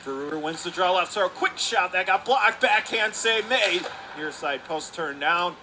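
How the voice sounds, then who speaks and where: A man calling live radio play-by-play of a hockey game, talking rapidly and rising to excited shouts on close plays. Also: high-pitched, background noise.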